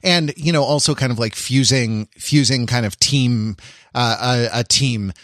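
A man in a casual podcast discussion, recorded dry and close. He thinks out loud in a halting, wordy, intellectual style with a wryly amused edge. His pitch sits in an ordinary middle range. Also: no room sound. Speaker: podcast co-host.

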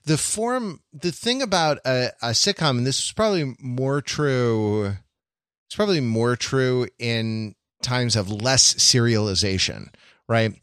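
A man hosting a podcast in casual analytical discussion, halting and repeating phrases as he thinks. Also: medium pitch, fairly bright tone, clear, resonant tone, no echo, clean studio sound. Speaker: podcast host.

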